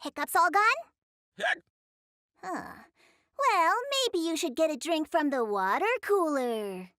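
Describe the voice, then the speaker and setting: A woman's voice: high-pitched, lively intonation, slow pace, fairly bright tone, no echo, studio quality, exaggerated voice. A woman voicing an eager, scheming young female pet in a children's cartoon, with perky, theatrical exclamations. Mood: showy. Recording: music behind the voice.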